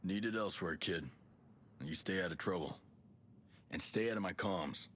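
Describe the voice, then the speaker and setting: Low-voiced, dry soldier. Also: male voice, normal speed, fairly bright tone, slight background noise. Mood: firm, dry. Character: gruff male special forces soldier, middle-aged, dry and confident. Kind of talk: video game cutscene acting.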